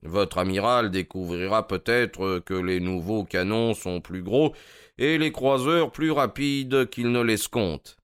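Un homme qui joue, pour un livre audio, un détective anglais d'âge mûr, suave, courtois et moqueur. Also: male voice, fairly low pitch, clean tone, no echo, studio quality. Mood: politely triumphant. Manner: amused, courteous, drawn-out mocking delivery. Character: suave, wry older English detective, gloating politely.

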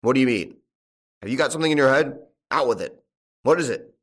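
A man's voice: fairly low pitch, animated, normal speed. A man voicing an angry, domineering farmer in an audiobook, with a growling, bullying delivery. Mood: eager, demanding. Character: angry domineering male farmer demanding his stolen money. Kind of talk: audiobook character acting.

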